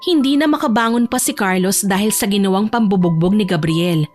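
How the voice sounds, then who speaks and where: A woman's voice: medium pitch, brisk, a little mellow, faint music behind. A woman reading scripted narration for a dramatic romance audio story. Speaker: narrator and voice actor.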